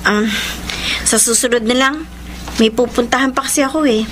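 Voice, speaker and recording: A woman's voice: on the high side, normal speed, background noise, close to an everyday voice. An actress playing a lovelorn young woman in a Filipino radio drama, earnest and emotive, with a Filipino accent. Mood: guarded. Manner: earnest, emotive delivery, mixing Tagalog and English. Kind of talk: Filipino radio drama acting and letter narration. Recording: radio drama with music underneath.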